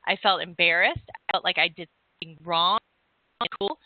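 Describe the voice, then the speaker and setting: A woman's voice: lowish voice, fairly even intonation, normal speed, phone-quality sound. A woman hosting an interview, a former hypnotist who rambles and searches for her words with many restarts. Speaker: podcast host and former hypnotist. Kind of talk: casual interview chat.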